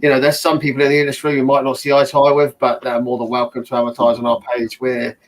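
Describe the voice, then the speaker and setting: Relaxed male live-stream host. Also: medium pitch, fast talker, poor audio. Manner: friendly, relaxed, repeats himself. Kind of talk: casual chat on a live stream.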